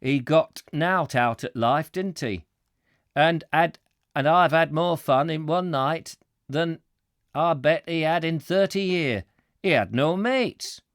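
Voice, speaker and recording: A man's voice: a little high, expressive, no room sound, clean studio sound, pitched above the performer's own voice. Man putting on a blunt, emphatic old Yorkshire warehouseman. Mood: blunt, reflective. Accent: Yorkshire, put on. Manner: deliberate, aggressive, emphatic dialect delivery. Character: plain-spoken older Yorkshire warehouseman, stubborn and matey. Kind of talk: acted dialogue in a book reading.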